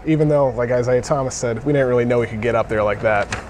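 A man with a medium-pitched voice offers casual sports analysis. He talks in a conversational, analytical manner, with faint noise in the background. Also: quick pace, darkish tone. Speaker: sports writer, panel guest. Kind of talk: casual basketball post-game analysis.

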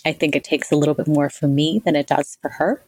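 A woman's voice: low voice, quick pace, slightly rough, no echo. A woman in her forties, a podcast guest, speaking in a reflective, articulate way about her own experience. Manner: reflective, articulate, self-described monotonous voice. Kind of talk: conversational interview answers.

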